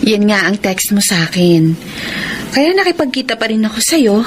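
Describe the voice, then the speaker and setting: A woman acting a kind, hesitant young woman in a Filipino radio drama, speaking gently with a Filipino accent. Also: medium pitch, big pitch swings, moderate pace, a little hiss or hum, lightly acted. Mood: gentle, friendly.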